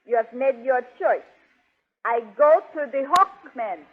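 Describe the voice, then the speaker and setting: An actress playing a haughty, vengeful young space princess, imperious, in a 1930s radio serial. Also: female voice, high-pitched, animated, a little slow. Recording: tinny 1930s radio recording.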